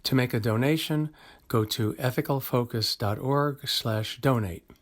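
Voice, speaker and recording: A man's voice: mid-range voice, measured pace. Man reading a scripted announcement. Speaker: announcer for an organization.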